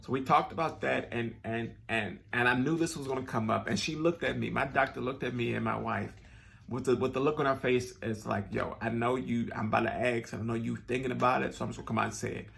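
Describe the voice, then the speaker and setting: Earnest gravelly man with a stuffy nose. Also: mid-range voice, fairly even intonation, fast talker, a bit bright, slight background noise. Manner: earnest, rambling with many restarts and fillers. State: slightly nasal and stuffed up. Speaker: vlogger. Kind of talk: casual personal talk to camera.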